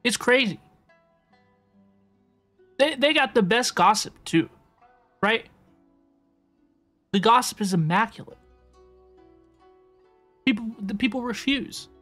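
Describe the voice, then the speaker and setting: A woman's voice: fairly high pitch, lively intonation, slow, long pauses, a bit bright, soft background music. A woman live-streaming a video game, chatting casually and repeating words for emphasis.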